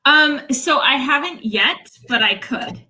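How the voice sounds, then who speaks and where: A woman's voice: high-pitched, lively intonation, slow, sharp tone. A young woman from Utah, a language coach, explaining her work earnestly in a casual livestream interview, often starting with "so" and "um". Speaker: English language coach, guest.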